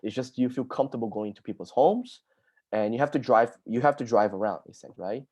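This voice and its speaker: A man from Brazil talks loosely on a video call, answering questions in a relaxed, chatty way. His pitch sits in an ordinary middle range, and he keeps tossing in "dude" and "like" as he goes. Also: fast talker. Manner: casual and chatty, says "dude" and "like" a lot. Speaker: occupational therapist answering student questions.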